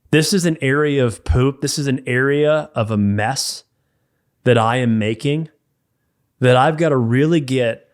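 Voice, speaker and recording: A man speaking as a men's mentor, giving practical faith-based advice in an emphatic, direct way. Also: mid-range voice. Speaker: men's mentor and podcast co-host. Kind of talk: faith-based coaching talk.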